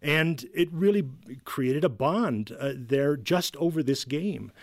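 A man's voice: on the high side, animated, takes it slowly, dry recording. Middle-aged man, dry studio sound. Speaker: video game reviewer, podcast regular. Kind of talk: casual conversational discussion.

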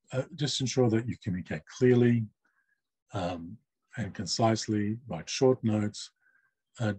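A man interpreting for a deaf guest, speaking in the first person. He gives measured, list-like answers with short pauses. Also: fairly low pitch, darkish tone, husky, no echo, rough recording.

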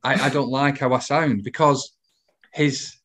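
A middle-aged man with a medium-pitched voice hosts a casual interview. He speaks tentatively, repeating words while he works out what he wants to say. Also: fairly even intonation, a little slow, fairly bright tone.

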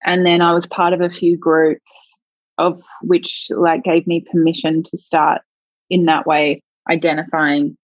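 Low-voiced woman thinking aloud. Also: level tone, a little slow, dry recording. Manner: searching, thinks aloud in loose winding sentences. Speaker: sexologist guest. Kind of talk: reflective interview conversation. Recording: dull lo-fi dry sound.

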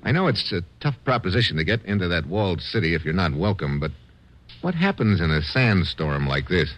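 An American man playing a bold, wry, hard-boiled adventurer in an old-time radio drama, with brisk informal delivery. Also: deep voice, big pitch swings, fairly bright tone. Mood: determined. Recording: noisy vintage radio recording.

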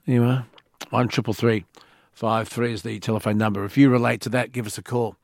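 A man's voice: medium pitch, flat delivery, brisk, creaky, a little mellow, dry recording, clean studio sound. A man with an Australian accent hosting late-night talkback radio, encouraging his callers. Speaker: radio host.

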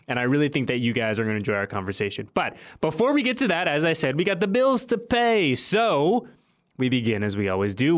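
Upbeat, emphatic male sports podcast host. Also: a little high. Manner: animated, emphatic, repeats phrases for emphasis.

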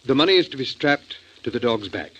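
A man's voice: medium pitch, sing-song, fairly quick, fairly bright tone. A worried, formal father, muffled recording. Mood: worried, measured. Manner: formal, careful phrasing. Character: worried, well-spoken father of a kidnapped daughter. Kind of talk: 1940s American radio acting. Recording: dull, muffled vintage radio recording.

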